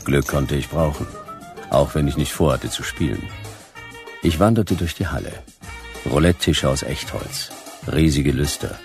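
A man playing a laconic hard-boiled private detective in a radio drama, narrating in a dry, clipped, deadpan way with a gravelly voice. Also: very deep voice, normal speed, pauses often, soft background music.

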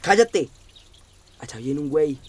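A man's voice: fairly high pitch, lively intonation, unhurried, husky. An actor playing a cocky, teasing, slangy young man in a radio drama.

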